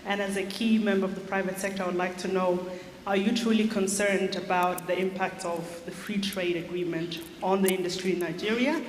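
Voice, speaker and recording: Echo and hall reverb wrap around a woman from South Sudan as she asks a question from the audience. There is faint noise behind her measured, formal questioning. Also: mid-range voice, steady tone. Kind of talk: prepared question from the floor. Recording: echoey hall, roomy and noisy.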